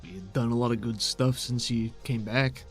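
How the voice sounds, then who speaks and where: A man's voice: medium pitch, steady tone, moderate pace. Folksy man roleplaying, music behind. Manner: folksy, casual phrasing. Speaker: game master of a tabletop role-playing show. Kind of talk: improvised in-character roleplay with narration.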